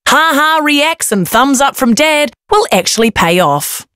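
A woman reading an upbeat phone-plan advert in a chatty way, rushing the fine print. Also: high voice, big pitch swings, fairly bright tone, no room sound, clean studio sound. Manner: chatty, fast disclaimers. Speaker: ad voice-over. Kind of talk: upbeat ad read.

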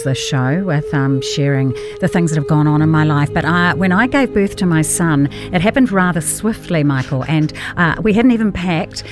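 A woman co-hosting a radio show, chatting in a gushing, playful way. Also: on the low side. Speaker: radio co-host. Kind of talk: casual radio chat. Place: radio studio. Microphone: studio microphone.

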